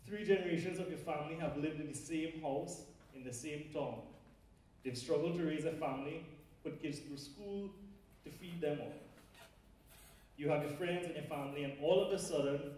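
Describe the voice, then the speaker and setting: A man's voice: fairly high pitch, normal speed, mellow. A man from Trinidad with a Trinidadian accent, giving a conversational sermon with fillers and asides to a large audience. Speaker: preacher. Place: large lecture hall with a big audience. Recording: very echoey hall, voice heard from far off.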